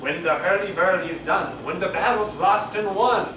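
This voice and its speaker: Man acting out spooky stage witch, high pitch, chanting delivery, theatrical, echoey noisy room. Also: close to an everyday voice. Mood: chanting, eerie. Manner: theatrical, drawn-out, pitched-up put-on voices. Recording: echoey, noisy camcorder audio from far off.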